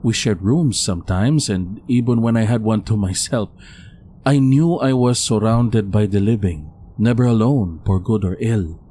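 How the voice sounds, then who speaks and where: An actor playing an uneasy, reflective priest from the Philippines in an audio drama, hesitant and brooding. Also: male voice, on the low side, normal speed, warm tone, a little hiss or hum, lightly acted. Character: soft, uneasy Filipino male priest, reflective and haunted.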